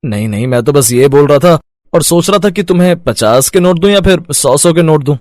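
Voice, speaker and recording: An actor playing a weary, henpecked village food vendor in a story cartoon, expressive and given to grumbling and pleading. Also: male voice, on the high side, brisk, dry recording, studio quality, close to an everyday voice. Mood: placating. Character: weary, henpecked village chicken-lollipop street vendor husband. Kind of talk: animated moral-story voice acting.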